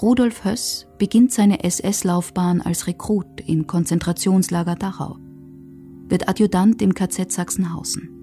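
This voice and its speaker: Mellow, lowish-voiced female documentary narrator. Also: fairly even intonation, a little slow, faint music behind. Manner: factual, sober. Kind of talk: scripted narration.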